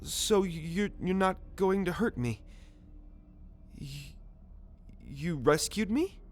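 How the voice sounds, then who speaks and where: A man playing a jittery, earnest young alien captive, stammering and excitable, in an ASMR roleplay audio drama. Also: sits high, slow, exaggerated voice. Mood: bewildered, relieved.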